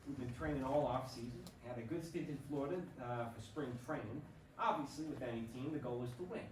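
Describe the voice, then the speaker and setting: A man acting an earnest young baseball rookie with a halting, stammering delivery in a staged play reading. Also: medium pitch, lightly acted. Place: stage before a room, far microphone. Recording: echoey distant stage recording with noise.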